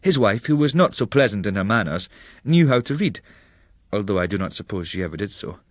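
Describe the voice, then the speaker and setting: A man reading a literary travel memoir as a narrator, in a measured, formal storytelling manner. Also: on the low side, very animated, dry recording, studio quality. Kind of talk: dramatic literary audiobook narration.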